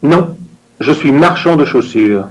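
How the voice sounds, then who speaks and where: Expressive man acting out lesson dialogue. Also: fairly high pitch, takes it slowly, slightly rough, poor audio. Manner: expressive, theatrical line reading. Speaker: language course voice actor. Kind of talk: scripted language lesson dialogue.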